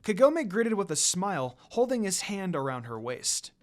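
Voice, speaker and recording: A man reading a fan fiction story aloud in an expressive narrating style. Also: high-pitched, moderate pace. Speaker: fan fiction reader, channel host. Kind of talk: expressive scripted story narration with casual asides. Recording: music under the voice. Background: music.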